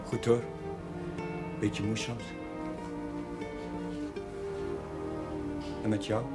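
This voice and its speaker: An actor playing a gentle, earnest and reassuring village chaplain in a television drama. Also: male voice, lowish voice, little pitch movement, unhurried, music in the background, lightly acted. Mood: friendly, subdued.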